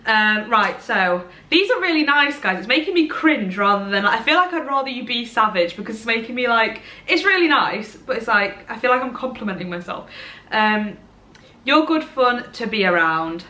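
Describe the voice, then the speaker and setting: A woman's voice: a little high, lively intonation, moderate pace, bright, forceful tone, roomy sound. A young woman in her twenties from Derbyshire with a Midlands accent, chatting casually and rambling to a camera at home. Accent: English Midlands. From Derbyshire, England. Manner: chatty, rambling, self-deprecating. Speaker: vlogger. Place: bright room at home, indoors.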